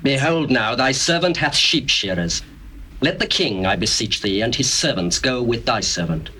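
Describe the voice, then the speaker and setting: A commanding, vengeful young biblical prince, bright and forceful with lively swings in pitch. His archaic lines are theatrical, on a faintly noisy tape. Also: normal speed. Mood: courteous urging. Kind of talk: dramatized Bible reading.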